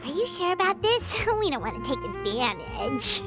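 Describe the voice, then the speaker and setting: A woman voicing a tiny, childlike, excitable fairy companion in a video game, squeaky. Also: moderate pace. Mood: amazed, curious. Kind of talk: cartoonish video game voice acting. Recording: game music underneath.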